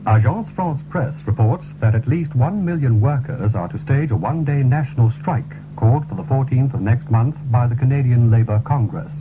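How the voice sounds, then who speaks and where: A man reading a formal, scripted radio news bulletin in a measured way, on a 1970s broadcast. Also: medium pitch, expressive, music in the background. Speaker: radio newsreader. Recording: tinny, narrow-band 1970s radio broadcast recording.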